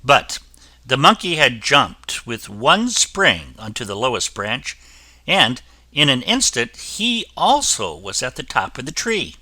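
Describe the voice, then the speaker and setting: A man reading a children's fairy tale aloud as the storyteller, with an expressive delivery. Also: medium pitch, slow pace, no echo.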